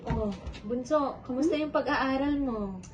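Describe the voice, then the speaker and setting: Woman acting a high-voiced, earnest Filipino older sister. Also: a little slow. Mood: casual, caring. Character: hardworking, self-sacrificing older sister, protective, forgiving. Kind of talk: amateur one-act stage play acting in Filipino. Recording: dull lo-fi sound, faint noise.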